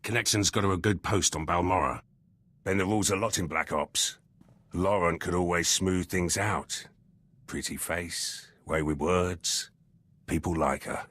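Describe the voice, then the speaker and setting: An actor playing a cynical, gruff military lieutenant in a video game, talking in terse, clipped phrases. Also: male voice, deep voice, steady tone, a little slow, a bit bright, no echo. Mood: brisk, businesslike. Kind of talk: video game character dialogue.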